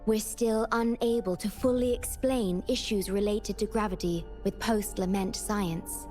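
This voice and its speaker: A woman's voice: medium pitch, fairly even intonation, measured pace, dark tone, faint music behind, close to an everyday voice. A woman playing a composed, devoted guide in a video game, speaking formally and carefully. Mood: grave, composed. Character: composed, devoted woman guiding a companion on a mission.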